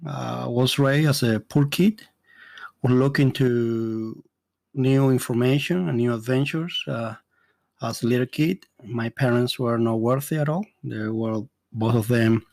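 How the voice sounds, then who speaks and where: A middle-aged man with a strong Dominican Spanish accent talks straight into a close microphone with no room echo. His voice sits at an ordinary middle pitch. He speaks earnestly and often pauses, restarts and fills gaps with "uh.". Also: darkish tone. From Dominican Republic. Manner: earnest, halting, with many fillers and restarts. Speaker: immigration consultant and podcast host. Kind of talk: solo podcast monologue.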